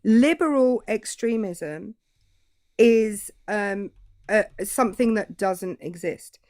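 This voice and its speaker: A woman's voice: mid-range voice, slow, darkish tone, no echo, clean studio sound. A British woman arguing politics in a live debate, cutting and sarcastic, often cutting in. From Britain.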